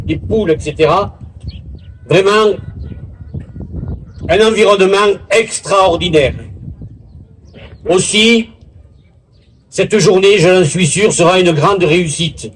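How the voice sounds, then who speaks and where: A man giving a formal public speech as a local official, in a deliberate, theatrical oratorical manner with pauses and a husky voice. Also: on the high side, a little hiss or hum, rough recording. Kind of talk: formal public speech at a village festival, with literary recitation. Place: village festival in Bouisse, addressing a gathering.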